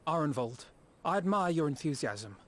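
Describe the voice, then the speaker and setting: An actor playing a cautious, worried comrade with formal phrasing in a video game cutscene. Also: male voice, a little high, very animated, normal speed.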